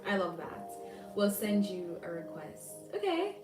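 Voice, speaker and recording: A woman's voice: medium pitch, sing-song, a little slow, echoey room, far from the mic, over music. A young Jamaican woman chatting casually on a livestream, reading and answering viewer comments aloud. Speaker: livestream host.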